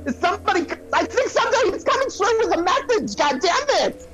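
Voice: very high-pitched, big pitch swings, a little fast, over loud music. A performer playing a flustered, dramatic psychic who rambles in repeated exclamations in a comic audio play. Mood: frantic, overwhelmed. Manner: breathless, rambling, repetitive exclamations. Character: flustered, dramatic celebrity psychic channeling spirits.

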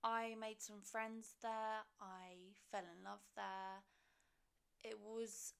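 A woman's voice: mid-range voice, little pitch movement, slow pace, vocal fry, clean tone. A woman in her twenties from Poland, chatting candidly in a casual question-and-answer vlog, swearing freely and confiding in her viewers. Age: 20s. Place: her own home.

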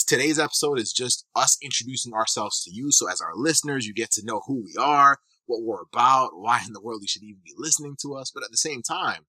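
Eager guy hosting a podcast, lowish voice, dead dry mic. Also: pushed and bright, no room sound, low-quality recording. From Kenya. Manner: enthusiastic, loose, many restarts and fillers. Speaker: podcast host, physical therapist. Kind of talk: casual podcast chat.

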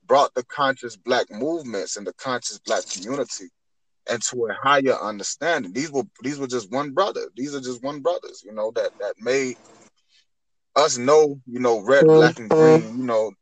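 A man's voice: mid-range voice, moderate pace, a little hiss or hum, low-quality recording. A man in his twenties speaking earnestly on spiritual matters in conversation, often repeating and rephrasing as he builds a point. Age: 20s.

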